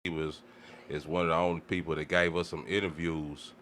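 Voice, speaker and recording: A man's voice: a bass voice, level tone, a little fast, a bit bright, slight background noise. An American man co-hosting a podcast, chatting casually with a lot of slang. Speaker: podcast co-host. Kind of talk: casual chat.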